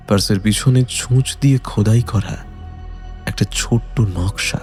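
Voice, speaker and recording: A man giving a dramatic, suspenseful scripted narration of a detective story in a measured way. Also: medium pitch, mellow.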